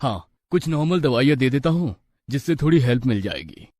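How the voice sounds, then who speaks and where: A man playing a calm, kindly village doctor with a measured, advisory manner. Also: medium pitch, no room sound. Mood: reassuring.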